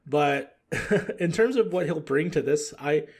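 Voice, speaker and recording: A man's voice: on the high side, animated, measured pace, husky. A man chatting casually and giving his opinions in a rambling way as a podcast guest. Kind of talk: casual chat.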